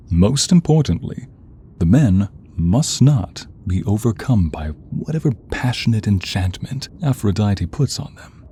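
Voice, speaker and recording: A man delivers slow, dramatic ASMR storytelling in a low, very dark and mellow voice. His hushed narration often sinks into a whisper. Noise and ambience sit faintly but clearly behind him. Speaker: narrator of an audio roleplay.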